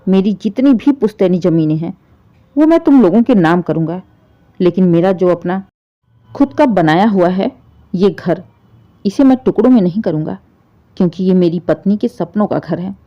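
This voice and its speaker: A woman's voice: lowish voice, expressive, normal speed, lightly acted. A woman voicing an elderly widowed father in a moral story, wounded, proud and resolute, speaking with emphatic feeling. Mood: resolute, angry. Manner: emphatic, emotional pleading and scolding. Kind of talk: dramatised Hindi moral story. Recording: dull, muffled lo-fi audio.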